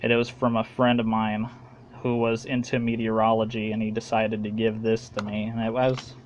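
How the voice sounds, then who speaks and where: A man with an American accent giving a casual, halting, plain-spoken show-and-tell as a hobbyist making a home video. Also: fairly low pitch, completely flat delivery, a little hiss or hum, rough recording.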